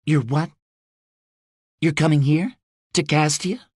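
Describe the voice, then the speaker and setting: A woman's voice: low-pitched, expressive, measured pace, dry recording, clean studio sound, pitched above the performer's own voice. A male narrator voicing a fierce young woman who leads mercenaries under siege, blunt and swearing freely. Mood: incredulous, pleading. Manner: sharp-tongued, swearing, blunt.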